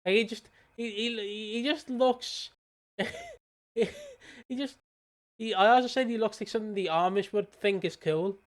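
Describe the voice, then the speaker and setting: A man's voice: high-pitched, very animated, measured pace, long pauses. A British man co-hosting a podcast in casual chat, giggly and teasing, sometimes reading out notes. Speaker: podcast co-host.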